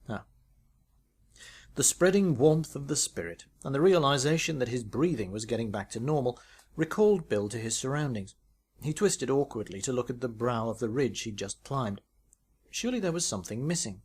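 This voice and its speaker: A British man reads a short story as narration, in an expressive, wry storytelling manner. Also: mid-range voice, slightly rough. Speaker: author reading own short story.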